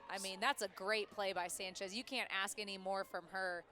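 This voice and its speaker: A woman giving color commentary and analysis on a softball broadcast. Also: mid-range voice, fairly even intonation, moderate pace.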